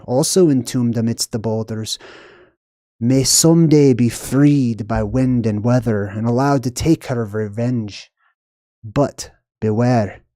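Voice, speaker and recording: Slow, deliberate folklore storyteller. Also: medium pitch, warm tone, no room sound, studio quality. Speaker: storyteller and channel host. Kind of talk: scripted folklore narration.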